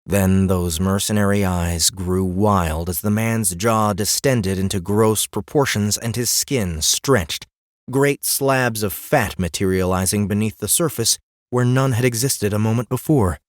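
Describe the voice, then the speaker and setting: Male fantasy audiobook narrator, deep register, dramatic phrasing, very dry studio sound. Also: no room sound. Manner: deliberate dramatic phrasing with pauses.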